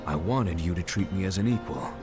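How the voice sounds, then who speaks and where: Casting: noble, grave beast, deep-pitched, formal and loyal, for a fantasy game with score behind. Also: male voice, lively intonation, fairly quick, over loud music. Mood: earnest, grave. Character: solemn, dignified red beast warrior, loyal and formal.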